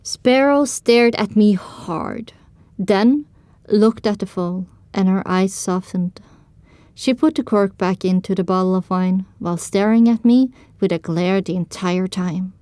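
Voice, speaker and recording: A woman delivers scripted first-person narration for a story. Her pitch sits in the middle range. The sound is dry, with no room to it. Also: measured pace, mellow, no room sound.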